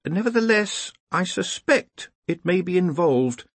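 A man's voice: on the high side, big pitch swings, normal speed, slightly rough, no room sound, pitched above the performer's own voice. An earnest young academic wizard, acted out by a male narrator in a fantasy audiobook. He is hesitant and deferential, explaining with care in a raised, swooping voice. The recording is dry and close.

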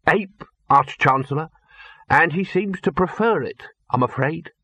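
A man's voice: a little high, lively intonation, a little slow, pushed and bright, breathy edge, dry recording, voice pushed up, heavily acted. Man doing a nervous stammering bursar. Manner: hesitant, stammering, placating. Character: nervous fussy male college bursar. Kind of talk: audiobook character voice.

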